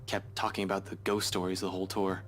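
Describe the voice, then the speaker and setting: A man's voice: deep voice, monotone, fast, slight background noise, close to an everyday voice. An actor playing a guarded, dry young male police investigator in a visual novel game. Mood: troubled.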